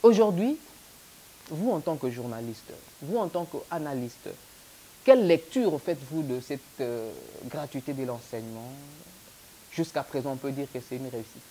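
A man's voice: medium pitch, expressive, moderate pace, mellow, rough recording. A man with a Congolese French accent hosting a TV political talk show, asking probing questions. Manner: probing, mild pushback. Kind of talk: interviewer questions. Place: TV studio. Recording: faint hiss.

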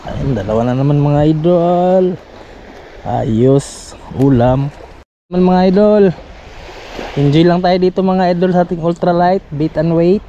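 Excitable Filipino man fishing on a beach. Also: on the high side, a little slow, lo-fi sound. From Philippines. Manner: excitable, repeats phrases, calls viewers "idol". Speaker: fishing vlogger. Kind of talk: casual commentary to viewers while fishing. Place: sunny sandy beach by the sea, outdoors. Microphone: head-mounted action camera. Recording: outdoor camera mic with wind and sea noise.

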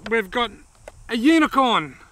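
An Australian man in his forties, chatting casually to camera outdoors as a vlog host, friendly and quick to laugh. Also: extremely high pitch, a bit bright, dry recording. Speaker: fishing channel host. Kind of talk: casual vlog reading viewer mail. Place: outdoors in dry open woodland. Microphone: camera close up at arm's length.

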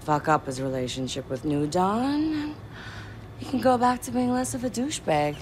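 A woman's voice: medium pitch, very animated, moderate pace, darkish tone, natural voice. A young woman playing a brash, defiant hacker activist in a game cutscene, blunt and determined. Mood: scheming, scornful. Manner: blunt, foul-mouthed, determined. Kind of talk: video game cutscene acting. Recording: noisy ambient game scene.

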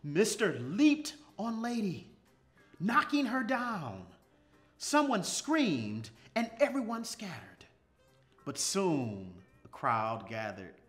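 A middle-aged man reading a children's storybook aloud with expressive, measured, dramatic phrasing and pauses. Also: high voice. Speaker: storybook reader. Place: small furnished indoor room, seated. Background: music.

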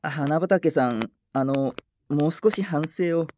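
A man's voice: on the high side, slow pace, darkish tone, a little hiss or hum. An actor playing a timid, overly considerate young male salesman who stammers when flustered. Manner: hesitant, flustered.

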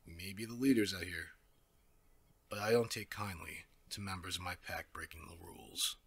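Man acting a low, raspy, menacing werewolf alpha. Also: steady tone, fairly bright tone, heavily acted. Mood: smug, coaxing. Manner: slow, condescending, mock-courteous. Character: menacing, smug male werewolf pack alpha. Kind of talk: ASMR audio drama acting.

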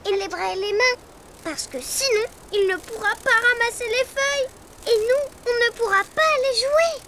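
An actor voicing a small, chatty, bossy and playful ladybird in a preschool cartoon, with expressive childlike exclamations. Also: extremely high pitch, moderate pace, slight background noise, exaggerated voice. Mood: insistent. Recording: music under the voice.

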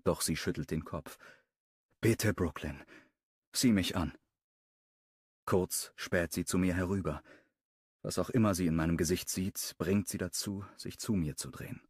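A man narrating a first-person romance audiobook in a calm, measured reading voice. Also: deep voice, slightly rough, no room sound, clean studio sound.

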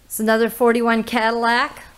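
Lady showing off old cars, fairly high voice, chatty, lots of little uh pauses. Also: monotone, fairly bright tone, smooth. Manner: chatty, listing items with frequent "uh" pauses. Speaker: auctioneer host giving a car walkthrough. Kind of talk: casual promotional walkthrough. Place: inside old barns and sheds full of cars.

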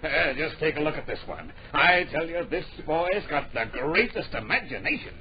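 An actor playing an absent-minded, excitable publisher in an old radio drama, muttering, hemming and repeating his phrases. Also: a little high, moderate pace. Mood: amused, delighted. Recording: muffled, noisy old radio recording.

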